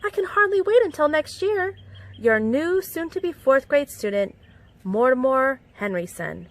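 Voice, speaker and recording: A woman reading a children's picture book aloud in an expressive, animated storytelling manner with clear enunciation. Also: high voice, measured pace, slight background noise. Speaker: storytime reader. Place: outdoors among plants.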